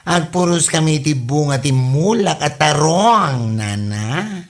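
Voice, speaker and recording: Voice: mid-range voice, animated, slow. A performer with an Ilocano accent playing a gossipy, bantering village woman in a Filipino comedy audio drama, exclamatory and teasing. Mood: chatty.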